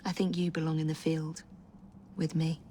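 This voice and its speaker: An actress as a low-voiced, poised English assassin. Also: female voice, flat delivery, normal speed, mellow, a little hiss or hum, natural voice. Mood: tender, warm. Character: poised, principled young Victorian woman assassin. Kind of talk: natural dramatic game cutscene acting.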